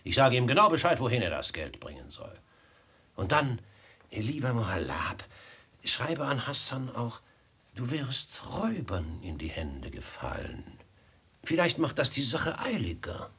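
An actor playing a mockingly polite bandit extortionist in a 1950s radio drama, with husky voice and theatrical emphasis. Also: male voice, mid-range voice, big pitch swings, unhurried, a bit bright, exaggerated voice. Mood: falsely courteous. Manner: mock-courteous, oily, theatrical emphasis. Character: smooth-talking bandit extortionist, mockingly polite adult man.

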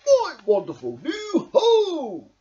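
A man's voice: very high-pitched, wildly swooping pitch, takes it slowly, warm tone, muffled recording, slight background noise, voice pushed up, heavily acted. A young male voicing a cheerful, kindly storybook badger in an exclamatory, sing-song way. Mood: hearty.

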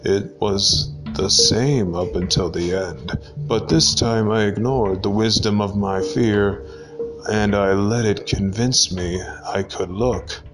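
A man giving a dramatic scripted reading of a horror story, in a deliberate storytelling manner with pauses. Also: on the low side, music in the background.

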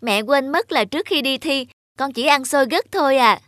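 High-voiced, fussy student girl. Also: expressive, moderate pace, a bit bright, dry recording, studio quality. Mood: fussy, stubborn. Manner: whiny, dramatic. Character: fussy, superstitious young student daughter, whiny and stubborn. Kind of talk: Vietnamese cartoon dialogue.

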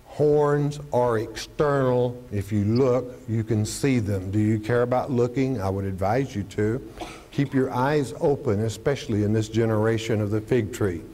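An older man preaching on a studio stage, teaching a televised Bible study with a lapel mic, asking himself questions and answering them. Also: mid-range voice. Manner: animated, gestures constantly while teaching. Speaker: pastor. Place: studio stage with podium and curtain.

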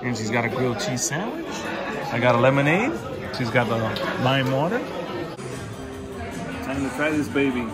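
A man's voice: mid-range voice, lively intonation, normal speed, long pauses. A man in his forties, upbeat and enthusiastic, giving a casual vlog tour with a camera at arm's length. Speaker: travel vlogger. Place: outdoor hotel pool area and indoor hallways. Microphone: handheld camera at arm's length. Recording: loud music and crowd behind. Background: music and crowd noise.

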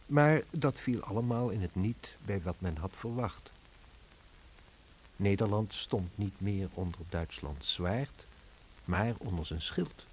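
A reflective Dutch man in hiding, recounts the occupation in a radio drama. His voice sits low, and a faint hiss runs under the old recording. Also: expressive, slow, a little mellow, poor audio. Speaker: narrator of a radio play. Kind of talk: scripted radio drama narration.

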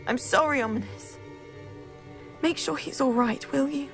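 A woman playing a frail but resolute teenage girl in a video game, soft and grave. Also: high voice, measured pace, faint music behind. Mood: upset, resolute. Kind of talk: video game dialogue acting.

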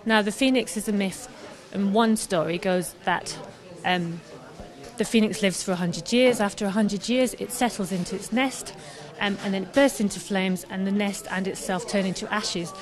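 A woman's voice: mid-range voice, fairly even intonation, moderate pace, crowd noise. Young woman chatting at a handheld mic. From Scotland. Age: young adult. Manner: chatty, enthusiastic, many fillers. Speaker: artist guest. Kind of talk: live radio interview. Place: busy pub. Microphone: handheld mic held by host.

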